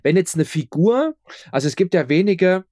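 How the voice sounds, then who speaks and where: Chatty man with a slightly high voice. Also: lively intonation, normal speed, darkish tone, no room sound, clean studio sound. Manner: chatty, repeats words and phrases for emphasis. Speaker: radio play producer and director being interviewed. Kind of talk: casual interview chat.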